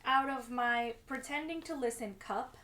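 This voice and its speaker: A woman with a slightly hoarse voice from a sore throat, co-hosting a casual chat podcast. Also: high-pitched, normal speed. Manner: chatty, drinking warm tea. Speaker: podcast co-host.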